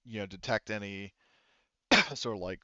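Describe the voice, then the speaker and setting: A man working as game master of a tabletop roleplaying game, narrating casually and haltingly, correcting himself as he explains on a headset. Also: fairly low pitch, sharp tone, no room sound, highs cut off.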